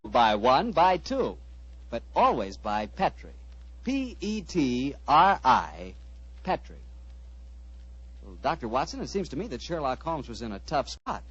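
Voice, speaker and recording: An American man hosts and sells like a 1940s radio announcer, folksy and salesmanlike. His husky voice moves through a wide pitch range, and the old broadcast is dry and dull. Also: very animated, measured pace, a bit bright, dry recording. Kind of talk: 1940s radio commercial and hosting.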